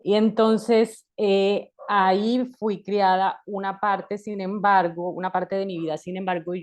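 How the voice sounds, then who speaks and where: A woman in her thirties from Colombia, a guest in an interview, talking in a reflective way with restarts and soft laughs. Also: medium pitch, level tone, measured pace. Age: 30s. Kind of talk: conversational interview.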